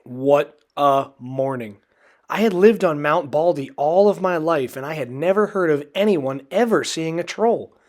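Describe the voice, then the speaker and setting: A man reading a children's storybook aloud in an expressive, dramatic way. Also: on the high side, unhurried. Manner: expressive, dramatic delivery with acted character voices. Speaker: storybook reader.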